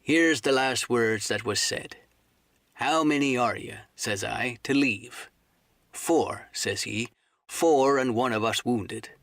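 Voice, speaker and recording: A man voicing a cunning, commanding old pirate sea cook who drawls threats in rough seafaring dialect, as in an audiobook. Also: medium pitch, slow pace, a bit bright, no room sound. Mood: grim, impatient. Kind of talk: dramatised audiobook reading.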